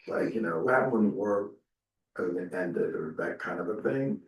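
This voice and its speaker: A man's voice: low voice, animated, a little slow, gravelly. A man on a discussion panel, speaking hesitantly with restarts. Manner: hesitant, many restarts and fillers. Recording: roomy hall, speaker far from mic.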